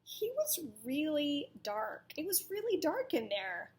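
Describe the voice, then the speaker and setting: A woman with a fairly high voice reads a children's picture book as a teacher would. Her pace is very slow and deliberate. She tells the story with drama and turns to her young listeners with questions. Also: sing-song. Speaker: teacher reading aloud to children.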